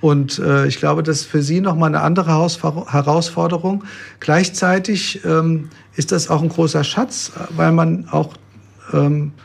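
A man in his fifties, a pastor, telling his story in an interview with relaxed hesitations. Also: fairly high pitch, a little slow, gravelly. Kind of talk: interview conversation.

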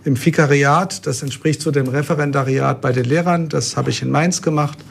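A middle-aged man with a gravelly, husky voice, pitched a little above most men's. He talks easily and explains things plainly, with a dry, joking touch. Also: fairly even intonation, a little fast. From Germany. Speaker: pastor and guest. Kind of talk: casual interview talk.

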